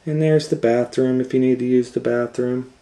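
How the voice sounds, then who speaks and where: A man voicing a friendly woman schoolteacher from a storybook, welcoming and explanatory, in a raised pitch. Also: fairly even intonation, normal speed, warm tone, lightly acted. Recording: roomy echo.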